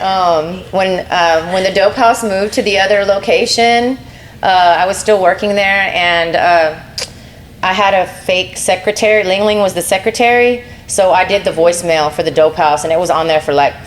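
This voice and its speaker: A woman whose first language is Spanish, chatting as a guest in a casual interview. She is animated, laughs often and slips into other voices. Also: lowish voice, normal speed, slight background noise. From North Carolina, USA.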